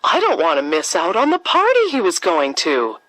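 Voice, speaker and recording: A woman's voice: lowish voice, huge pitch swings, normal speed, fairly bright tone, dry recording, full character voice. A woman voicing a curious, determined young girl in a children's storybook, expressively thinking aloud.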